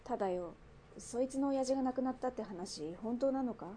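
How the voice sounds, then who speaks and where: An actress voices a brash gang boss woman in a radio drama. Her voice sits fairly high, yet her wording is blunt and mannish. She teases like someone used to command, with faint ambience behind her. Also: brisk, warm tone, a little hiss or hum, close to an everyday voice. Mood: teasing, skeptical. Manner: blunt, mannish speech, teasing. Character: brash, teasing female Taiwanese underworld gang boss. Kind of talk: radio drama acting, conversational.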